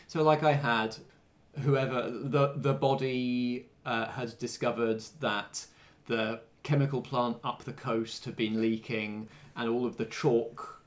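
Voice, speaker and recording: A man's voice: mid-range voice, fairly even intonation, takes it slowly. A man hosting a podcast as game master, chatting casually about a game. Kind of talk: casual backstage discussion.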